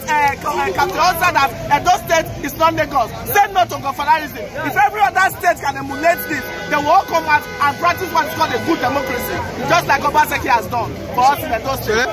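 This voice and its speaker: A man in his thirties from Edo State with a Nigerian English accent, talking fervently into a phone held at arm's length at a busy outdoor street rally, stumbling and repeating himself. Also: extremely high pitch. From Benin City, Edo State, Nigeria. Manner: fervent, repetitive, stumbles over words. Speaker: party supporter at a street rally. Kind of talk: excited street interview. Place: busy roadside outdoors beside a fence. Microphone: phone selfie at arm's length. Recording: loud cheering crowd behind.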